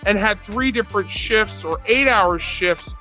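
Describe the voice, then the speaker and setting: A woman's voice: mid-range voice, unhurried, bright, forceful tone, soft background music. A woman giving a rambling, unscripted true crime commentary in a self-assured, boastful, argumentative way.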